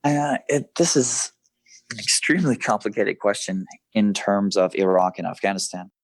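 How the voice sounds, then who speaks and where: A man in his thirties, a military veteran and freelance writer, answering interview questions in a measured, thoughtful way with many fillers and restarts. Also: on the low side, steady tone, no echo. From grew up in Southeast Asia.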